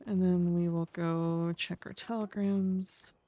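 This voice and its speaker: Tongue-tied streamer with a speech impediment, mid-pitched. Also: level tone, slow pace, mellow. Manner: tongue-tied, mumbly, stumbles over words. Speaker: streamer playing a roleplay character. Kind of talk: casual game livestream commentary, reading aloud.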